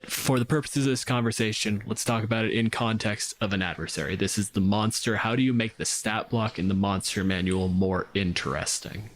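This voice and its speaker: A man's voice: fairly low pitch, brisk, few pauses. Casual, sweary man podcasting about gaming. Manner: sweary, analytical, informal. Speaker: podcast host. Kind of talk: casual podcast discussion of tabletop games.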